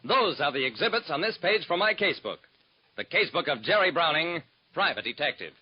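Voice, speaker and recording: A man's voice: a little high, big pitch swings, fairly quick, breathy edge. An actor playing a private detective who narrates his own cases in wry, hard-boiled first person, in 1940s radio drama style, on a dull lo-fi old recording.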